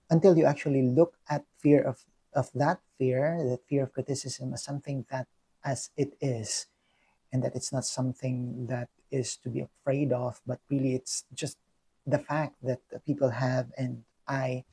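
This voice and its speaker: A man's voice: mid-range voice, dark tone, breathy edge. A young adult man from the Philippines with a Filipino accent, talking earnestly in a personal reflection vlog, full of ums and restarts. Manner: many fillers and restarts, earnest. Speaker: vlogger.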